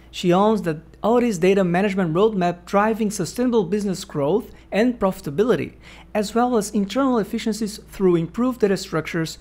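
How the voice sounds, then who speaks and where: A man's voice: high voice, darkish tone. A man hosting an interview, reading a formal guest introduction and then asking friendly, encouraging questions. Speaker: interview host. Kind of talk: podcast interview.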